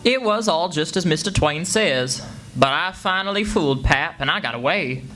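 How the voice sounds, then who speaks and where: A man's voice: on the high side, lively intonation, normal speed, loud music behind the voice, natural voice. A man playing a plucky, crafty country boy telling his story in a radio play, folksy. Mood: confiding. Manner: folksy, plain-spoken. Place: live performance before an audience. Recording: faintly noisy live stage recording.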